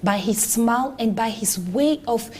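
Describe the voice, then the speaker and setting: A woman's voice: a little high, unhurried, darkish tone, slight background noise. A woman on a debate panel, articulately interjecting facts in formal, explanatory phrasing. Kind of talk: round-table debate, formal explanatory remarks.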